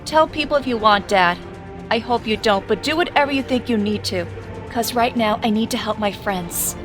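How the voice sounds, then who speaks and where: Woman as earnest, highish-voiced teenage heroine. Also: normal speed, close to an everyday voice. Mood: pleading, earnest. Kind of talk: audio drama acting. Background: dramatic music.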